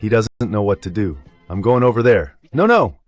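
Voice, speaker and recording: A man's voice: lowish voice, sing-song, normal speed, soft background music. A man as a talk show guest in a voiced-over translation, giving conversational political commentary.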